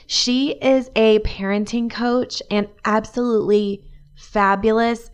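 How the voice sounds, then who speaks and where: A woman hosting her own podcast, her voice hoarse from a cold, chatting in an upbeat way and laughing often. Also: medium pitch. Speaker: podcast host, life and business coach. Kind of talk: solo podcast talk.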